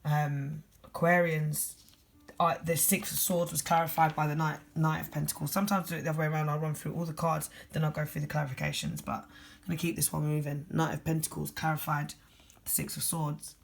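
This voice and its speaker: A tired tarot reader with a husky voice and a London accent, talking fast and bluntly through a general tarot reading. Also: mid-range voice, little pitch movement, slight background noise.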